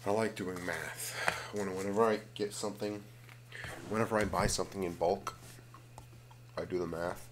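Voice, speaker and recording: A man hosting a casual, rambling ASMR video, slipping between vocal fry and whispering and often repeating his phrases. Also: low voice, steady tone, normal speed, noisy background. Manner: alternates hushed fry and whispering.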